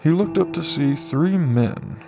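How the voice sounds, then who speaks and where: A man's voice: a little high, lively intonation, unhurried, creaky, over music. A man reading out scripted narration in the manner of an amateur audiobook. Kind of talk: scripted light novel narration.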